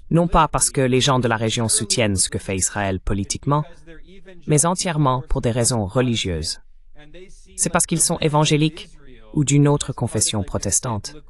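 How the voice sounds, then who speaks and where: A man delivering a voice-over translation of political commentary in a measured, explanatory style. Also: medium pitch.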